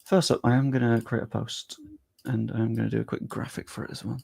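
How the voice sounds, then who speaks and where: A man's voice: mid-range voice, flat delivery, fairly quick, gravelly, a little mellow, dry recording. A young man live-streaming, chatting casually with his viewers and thinking aloud as he works on screen.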